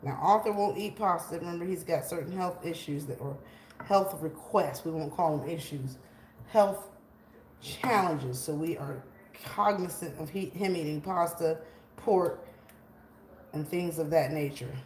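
Chatty home-cook host, 40s woman, slightly low voice, roomy kitchen sound on a tripod camera. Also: a little slow, a little mellow. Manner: chatty, greets viewers by name while cooking. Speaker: cooking livestream host. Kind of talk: casual live cooking chat with viewers. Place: large home kitchen, indoors. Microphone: camera on a tripod, moving around it. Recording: echoey kitchen with faint room noise.

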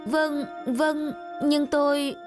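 A woman voicing a flustered, kind-hearted young woman who talks quickly and anxiously, for an animated story. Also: high voice. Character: young working woman, hesitant but kind. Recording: loud background music.

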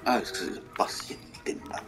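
A man with a hoarse, croaky voice, mumbling casual commentary over a strategy game and talking to its vehicles. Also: quick pace. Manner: mumbling, talks to the game's vehicles. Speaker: gaming channel host. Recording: game music behind voice.

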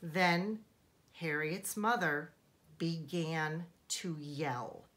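A woman teacher reading a children's storybook aloud in a deliberate, expressive, emphatic way for young listeners. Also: sits low.

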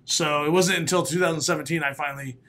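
Raspy, animated comedian on a podcast. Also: male voice, on the high side, quick pace. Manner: animated storyteller, laughs often, bursts into loud announcer calls. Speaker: comedian guest. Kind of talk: casual podcast interview. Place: small studio room. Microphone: studio mic, headphones.

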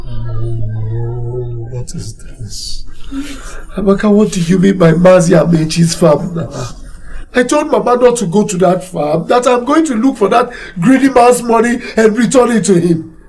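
A hot-headed young Nigerian village son in a film drama. His voice sits high and swings widely, and he argues excitably, repeating himself. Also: unhurried, a little hiss or hum. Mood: exasperated. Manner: excitable, repeats phrases, argumentative. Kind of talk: Nollywood film acting.

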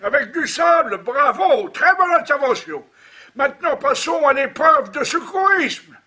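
Fire chief cartoon dog: pompous, excitable, very high and sharp. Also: male voice, a little slow, heavily acted. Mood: hearty, commanding. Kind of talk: French cartoon dub acting.

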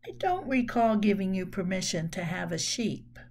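Woman reading an animated, gently disapproving storybook mother. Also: medium pitch, moderate pace, vocal fry, voice pushed down. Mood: gentle disapproval.

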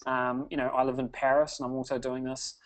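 A man's voice: mid-range voice, completely flat delivery, a little fast, low-quality recording. A man hosting a sales webinar, talking in an upbeat salesy way and often tagging "okay?". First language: English. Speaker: webinar host.

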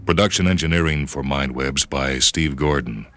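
A man narrating a story for radio in a measured, introspective, dramatic manner. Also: a bass voice, fairly bright tone. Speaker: reader and host of a radio short story program. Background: music.

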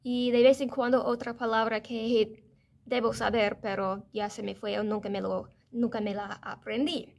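A woman's voice: on the high side, a little slow, vocal fry. American woman chatting to camera. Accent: American English. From United States. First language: English. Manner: chatty, self-correcting, animated hand gestures. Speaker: vlogger. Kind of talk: casual vlog to camera. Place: office or classroom, indoors, medium room.

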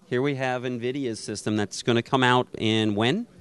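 A man's voice: medium pitch, steady tone, moderate pace, a bit bright, smooth. A middle-aged man presenting and asking questions in an on-camera trade show interview. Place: trade show booth indoors. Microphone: handheld interview microphone.

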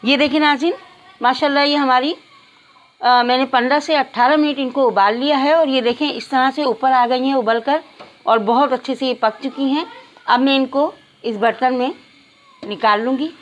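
A woman presents a home recipe in a fairly high voice. She talks straight to her viewers and takes them through the cooking one step at a time. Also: steady tone, normal speed, poor audio. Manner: step-by-step, addressing viewers directly. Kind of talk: instructional cooking narration.

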